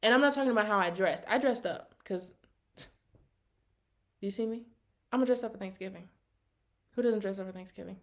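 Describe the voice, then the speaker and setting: An American woman in her early twenties chatting casually to her vlog viewers in an animated, sassy, slangy storytelling way. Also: a little high. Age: early 20s. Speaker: vlogger.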